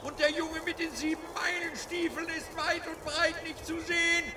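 An actor playing an anxious, fussy, very old fairy-tale collector in a children's radio play, excitable and pleading in a theatrical way. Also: male voice, very high voice, normal speed, background noise. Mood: frightened, breathless.

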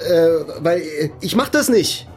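Radio drama: reluctant, stammering bundle of nerves, slow and halting. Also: male voice, fairly high pitch, lively intonation, darkish tone, faint music behind. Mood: flustered. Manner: stammering, hesitant. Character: nervous, stammering, reluctant male helper. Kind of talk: German radio play acting.

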